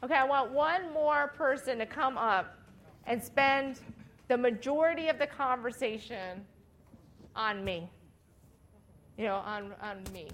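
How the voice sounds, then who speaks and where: A woman with a Minnesotan accent teaches a church outreach workshop. Her voice sits a little high, and her talk is loose and chatty, full of "you know" and easy laughter. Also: unhurried, long pauses, fairly bright tone, a little hiss or hum. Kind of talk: workshop teaching and role-play.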